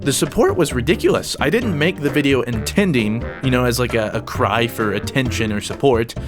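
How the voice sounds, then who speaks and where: A man hosting a casual vlog, upbeat and energetic as he reads viewer comments. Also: mid-range voice, fairly quick, music in the background. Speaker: YouTube gaming channel host.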